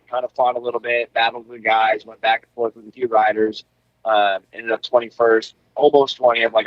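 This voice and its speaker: A man's voice: medium pitch, no echo. A young man recounts his race night in an easygoing, filler-heavy ramble. His voice comes through a remote call line that sounds muffled and a little lo-fi. The sound is dry, with no room around it. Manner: relaxed storytelling with many fillers. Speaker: guest, pro motocross racer. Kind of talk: casual interview over a call.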